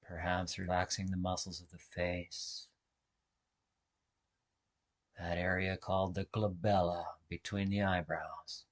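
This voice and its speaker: A man leading a guided meditation as instructor, speaking gently in short, measured phrases with long pauses between them. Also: sits low, little pitch movement. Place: online video call session.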